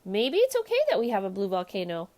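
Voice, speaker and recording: Easygoing storybook cat kid, played by a female reader. Upbeat, reassuring, animated delivery. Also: mid-range voice, quick pace. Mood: laid-back. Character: laid-back, upbeat young male cat schoolboy.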